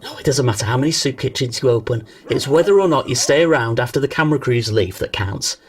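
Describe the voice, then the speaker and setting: A man's voice: medium pitch, animated, fast talker, distant mic, lightly acted. A man acting as a chatty, doting, anxious mother in a comic radio play, gossipy and coaxing. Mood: chatty, proud.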